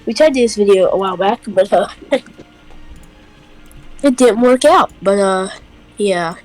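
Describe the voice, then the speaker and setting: A woman's voice: medium pitch, lively intonation, a little slow, darkish tone, soft background music, low-quality recording. A congested woman hosting a casual chat, rambling and hesitant, with lots of fillers. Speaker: host.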